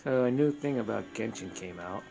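Gaming co-host, gravelly voice, offhand casual chat while playing, game music clearly behind. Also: mid-range voice, animated, a little fast, dark tone, low-quality recording. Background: video game music from a television.

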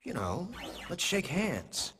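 An actor playing a boastful skull-masked game villain, taunting with exaggerated courtesy in a theatrical delivery. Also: male voice, high voice, very animated, slow pace, full character voice. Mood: mock-polite taunting. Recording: long hall reverb, loud game noise.

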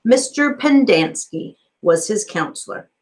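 A woman's voice: mid-range voice, animated, unhurried, a little mellow. Woman teacher reading a story aloud. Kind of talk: storybook narration, read aloud. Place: small office, indoors. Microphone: computer microphone on a video call.

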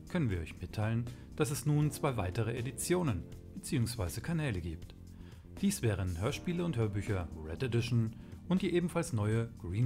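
A man reading a scripted channel announcement to listeners as the announcer. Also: mid-range voice. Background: music.

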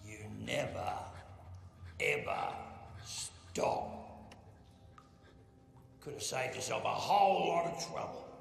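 A sadistic Australian killer with a deeply gravelly, slow drawl that rises and falls as he mocks his prey. The film scene sounds roomy, with a long hall echo and faint music underneath. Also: huge pitch swings, heavily acted. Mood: menacing. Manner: taunting, slow, drawling menace with cruel laughs. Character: menacing middle-aged male Outback killer, sadistic and mocking. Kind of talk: film drama acting. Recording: echoey hall with film score.

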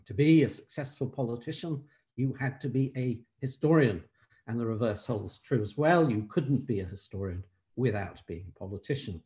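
An older man, an emeritus professor, giving a measured academic keynote over a video call from a room at home, pausing often on "uh". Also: medium pitch, warm tone. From Ireland. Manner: measured scholarly delivery with frequent "uh" pauses. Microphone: laptop webcam on a video call.

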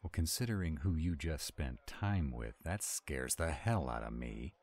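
A man acting a very deep-voiced grumpy were-dragon. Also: expressive, a little slow, a little mellow, exaggerated voice. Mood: wary. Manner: grumbling, reluctant, defensive. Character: grumpy, self-doubting male were-dragon cook, gruff but tender. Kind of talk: roleplay audio drama.

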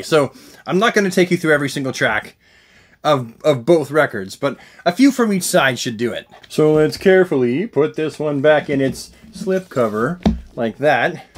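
A man in his forties casually reviewing records, thinking aloud with enthusiasm as the host. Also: fairly high pitch. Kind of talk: casual unboxing and music review chat. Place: small indoor room full of collectibles. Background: rock music from a record player.

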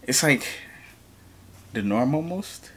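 A man's voice: mid-range voice, expressive, slow pace, fairly bright tone, slightly rough. A young man from New York co-hosting a reaction video, talking casually with lots of fillers in a room at home. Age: young adult. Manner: laid-back, rambling, lots of fillers. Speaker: reaction channel co-host. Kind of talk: casual chat.

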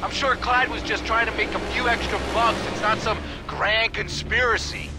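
An actor playing a brash, foul-mouthed mercenary and former Ranger in an action game, with an excitable, slangy delivery. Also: male voice, sits high, moderate pace, close to an everyday voice. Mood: exasperated. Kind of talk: military action game acting. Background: loud music, gunfire.

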